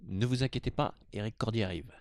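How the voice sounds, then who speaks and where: A low male voice with noticeable creak, recorded very dry and close-sounding. He speaks as the host of an online video, presenting to his viewers. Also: quick pace, a little mellow, dry recording. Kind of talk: informal video greeting, then scripted explanatory narration.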